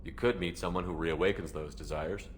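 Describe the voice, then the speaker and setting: A male actor as a steady military commander in a video game, direct and inquisitive. His voice is deep and his delivery quick, with faint background noise. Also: lightly acted. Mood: probing, calm. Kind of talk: video game dialogue acting.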